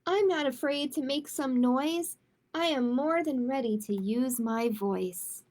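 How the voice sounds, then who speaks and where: Slow, high-voiced woman reading to children. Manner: slow, emphatic, expressive reading for young children. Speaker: children's librarian hosting storytime. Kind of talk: picture book read-aloud and casual chat.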